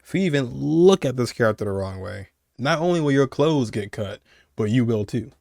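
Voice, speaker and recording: A man's voice: medium pitch, expressive, normal speed, vocal fry, heavy background noise. A man giving casual, slangy, conversational commentary as host of a video game countdown. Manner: slangy, conversational, chuckling asides.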